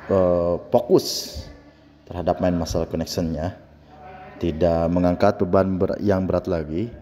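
A man's voice: deep voice, mellow. A young man talking a workout video through in a casual, explanatory way, recorded in an indoor gym by a camera across the room. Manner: chatty, explains training step by step. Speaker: gym vlogger. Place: indoor gym with fluorescent lights. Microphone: camera on the floor across the room. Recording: reverberant gym hall with faint hum.

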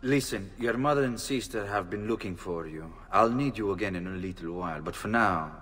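An actor playing a stern but fond middle-aged nobleman in a video game, measured and authoritative. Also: fairly low pitch, fairly bright tone, husky, distant mic. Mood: businesslike. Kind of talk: video game character acting.

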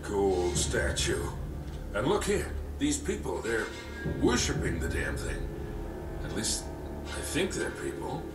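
An actor playing a gruff, grumbling older male treasure hunter in a video game. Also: on the low side, huge pitch swings, takes it slowly, recorded from a distance, music in the background, heavily acted. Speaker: game character. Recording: game audio played in a roomy studio.

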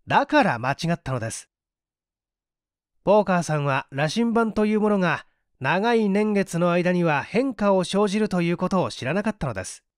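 An assured male detective scientist read by a man for a mystery audiobook. He lays out deductions patiently and articulately, like a teacher. The voice is highish and very animated, recorded dry. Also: normal speed, no room sound. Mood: patient, instructive. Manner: didactic, articulate. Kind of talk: dramatised audiobook reading.

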